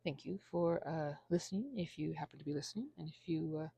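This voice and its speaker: A woman in her thirties giving a rambling, confessional ASMR monologue in a halting, earnest, self-deprecating way, with a husky, creaky voice. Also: sits low, dark tone, no room sound. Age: 30s. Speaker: ASMR channel creator.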